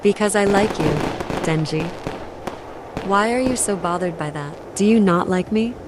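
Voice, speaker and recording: A woman voicing a young female devil who coaxes sweetly and threatens calmly in a manga fan dub. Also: mid-range voice, unhurried, lightly acted. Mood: gentle coaxing. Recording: noisy track with street ambience.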